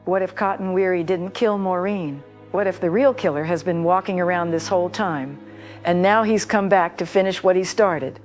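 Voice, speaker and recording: A woman playing a grave older caller, lower voice. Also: moderate pace, darkish tone, faint music behind, natural voice. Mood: worried. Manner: earnest, grave, insistent. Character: worried older woman radio caller, long-time local. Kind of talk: audio drama acting.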